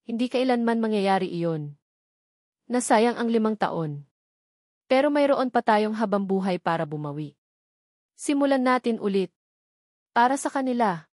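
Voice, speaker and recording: A woman's voice: fairly high pitch, expressive, normal speed, long pauses, a little mellow, no echo, clean studio sound, lightly acted. A woman with a Filipino accent voicing an earnest, protective policeman and devoted father in a romance audio drama. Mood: tender, earnest.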